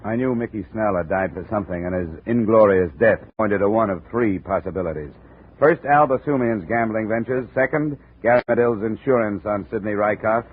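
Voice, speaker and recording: Hard-boiled investigator, low clipped delivery, muffled old radio sound. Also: male voice, a little fast, breathy edge. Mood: dry, measured. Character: tough, terse male insurance investigator narrating his case. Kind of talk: 1950s radio detective drama, first-person narration. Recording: muffled, noisy 1950 radio recording.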